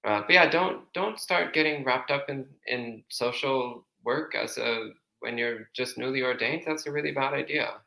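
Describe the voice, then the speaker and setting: A man's voice: mid-range voice, normal speed, creaky, hardly any pauses, pushed and bright, echoey room, poor audio. A man speaking as a monk who gives plain, practical advice with everyday comparisons. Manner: plain-spoken, uses everyday analogies. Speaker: monk answering questions. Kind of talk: casual explanatory advice.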